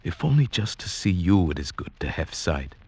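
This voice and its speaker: An actor playing an earnest, dreamy outsider in love, in mid-century radio drama style with poetic, theatrical delivery. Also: male voice, deep voice, sing-song, normal speed, darkish tone, husky, heavily acted. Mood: pleading. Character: lovestruck sighted outsider man, village slave, earnest and dreamy. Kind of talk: 1940s-50s radio drama acting, with first-person narration. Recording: old radio drama, music underneath.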